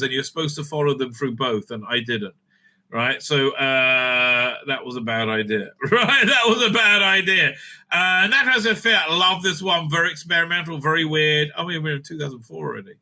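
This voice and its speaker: Forceful, high-voiced man ranting off the cuff. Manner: blunt, dismissive, repeats himself, sweary. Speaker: YouTube host and reviewer. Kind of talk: rambling, opinionated off-the-cuff commentary.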